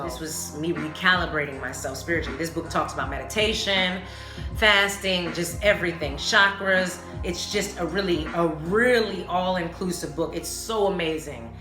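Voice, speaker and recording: Female podcast host, lowish voice, casual and emphatic, slangy and sweary, music behind her. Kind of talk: casual solo podcast talk. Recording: roomy echo, music behind the voice.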